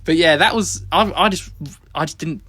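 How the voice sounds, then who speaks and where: A man's voice: fairly high pitch, normal speed, bright, forceful tone, slightly rough. A young man co-hosting a casual film review podcast, hedging and defending his opinions with many restarts. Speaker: podcast co-host.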